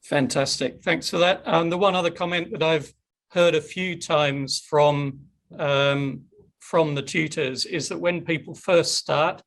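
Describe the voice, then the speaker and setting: A man's voice: a little high, fairly even intonation, unhurried, a bit bright. A middle-aged man hosting a webinar Q&A on a video call, chatty and upbeat. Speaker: webinar host. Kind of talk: casual webinar Q&A.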